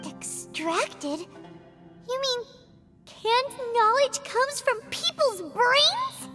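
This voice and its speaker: A squeaky fairy companion. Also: female voice, heavily acted. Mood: alarmed. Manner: excitable, squeaky, fast reactions. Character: small floating female fairy companion, chirpy. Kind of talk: video game voice acting. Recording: long reverb with game music.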